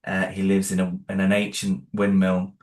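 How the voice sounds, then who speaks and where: A man's voice: low-pitched, monotone, normal speed, a little mellow. A man being interviewed about the audio drama he created, hesitant, with many fillers and restarts. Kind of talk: interview guest.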